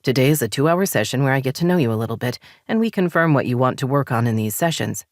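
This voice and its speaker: A woman voicing a calm, measured therapist, a man in his mid-forties. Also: very deep voice, no echo, studio quality.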